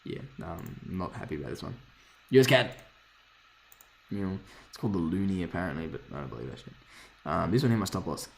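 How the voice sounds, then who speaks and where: A man with a hoarse voice casually thinking aloud while reviewing trading charts, rambling, swearing freely and correcting himself. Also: sits low, expressive, brisk. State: hoarse, lost voice from screaming. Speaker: forex trader reviewing charts.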